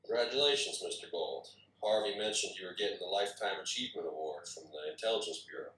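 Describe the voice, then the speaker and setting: A man playing a polite, businesslike federal agent, measured and direct, at a drama script reading. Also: low-pitched, bright, forceful tone. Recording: echoey, distant call audio.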